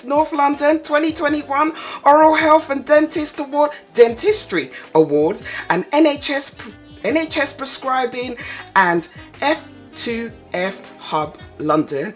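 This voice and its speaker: Slow-talking playful woman radio host. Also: high voice. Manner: slow, lively and playful, teasing. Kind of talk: radio interview.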